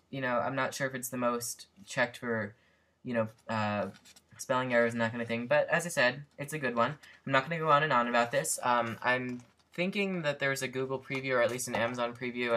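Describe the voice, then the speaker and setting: A young man talks through a book review at home, loose and rambling, forever restarting his sentences. His voice is bright and forward, with a middle pitch. A built-in computer mic at his desk picks him up. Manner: chatty, rambling with many fillers and restarts. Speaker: language learning vlogger reviewing a book. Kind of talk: casual unscripted review. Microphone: built-in computer webcam mic.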